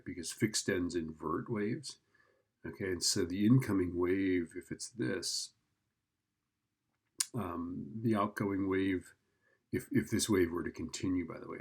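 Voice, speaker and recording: A man's voice: low voice, gravelly. A man giving an informal physics lesson, thinking aloud as he works through a problem and often checking in with the listener. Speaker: physics teacher.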